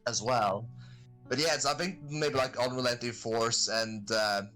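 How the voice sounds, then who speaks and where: A man chats on a podcast at an ordinary middle pitch, rambling and stopping to hunt for words. Faint music plays behind him. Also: a little slow, bright, forceful tone, rough recording. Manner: rambling, hesitant, many fillers. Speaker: podcast guest and streamer. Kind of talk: casual chat.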